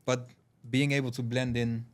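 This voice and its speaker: A man from Curaçao, a guest on a podcast, telling stories in a thoughtful, relaxed way with many fillers. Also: medium pitch, normal speed. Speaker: podcast guest, payments founder. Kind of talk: casual podcast conversation.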